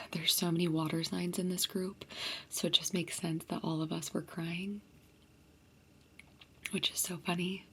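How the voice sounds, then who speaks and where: A woman's voice: on the low side, creaky, fairly bright tone, a little hiss or hum. A young woman vlogger whispering a casual, chatty storytime to her viewers. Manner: chatty, many fillers.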